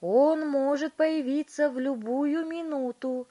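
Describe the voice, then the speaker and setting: A woman voicing an excitable, theatrical male inventor in a children's story, speaking in emphatic, drawn-out phrases. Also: medium pitch, voice pushed up. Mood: excited, urgent.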